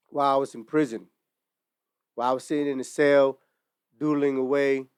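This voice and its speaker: A man in his forties talks earnestly and reflectively, with casual slang running through his storytelling. His voice is smooth and clear at an ordinary middle pitch. The sound is dry and close, with no room echo. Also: a little mellow. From Utah, United States. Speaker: merch brand founder. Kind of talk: earnest personal monologue to camera. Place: indoors in front of merchandise display.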